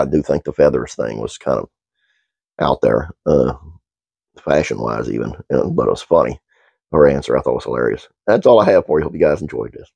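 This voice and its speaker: Stuffed up middle-aged guy doing a trivia vid at home, gravelly dark voice, lots of uhs. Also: extremely low pitch, dry recording. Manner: relaxed, halting with frequent uhs. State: congested, stuffed-up nose. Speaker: host. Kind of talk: casual trivia narration.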